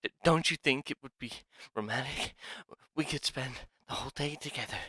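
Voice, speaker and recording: An eager young thief acted by a man in an ASMR roleplay, with a bright, forceful voice of medium pitch. He is urgent and clingy, stammering with obsessive devotion. The recording is dry and close. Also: fairly quick, husky, no room sound. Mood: pleading, obsessive.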